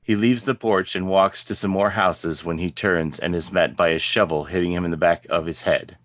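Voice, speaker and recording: A man's voice: low-pitched, steady tone, normal speed, a bit bright. A man narrating a script, reading out scene headings and stage directions as a narrator. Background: guitar music.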